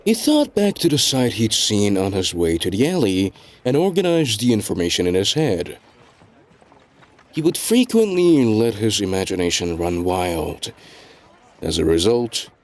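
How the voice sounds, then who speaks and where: A man reading scripted story narration in a measured, deliberate storytelling delivery. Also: fairly low pitch, sing-song, darkish tone. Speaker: narrator of a web novel fandub.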